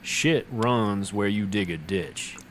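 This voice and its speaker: A man playing a bitter enemy warlord in a fantasy audio drama, mocking and sly in a deliberate way. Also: fairly low pitch, slight background noise. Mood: sly, menacing.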